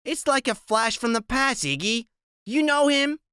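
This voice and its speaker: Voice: mid-range voice, measured pace, bright, forceful tone, clear, resonant tone, dry recording, clean studio sound, voice pushed up. A reader voicing a squinting, chain-smoking woman in a robe who talks in a nagging, fretful way.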